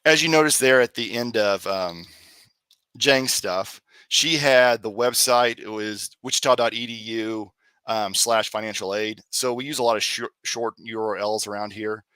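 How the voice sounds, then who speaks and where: A man presenting on student billing at an online session, a little hoarse from a cold, explaining in a relaxed way with many fillers. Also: fairly low pitch, moderate pace, no room sound. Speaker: accounts receivable staff presenter. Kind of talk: webinar presentation.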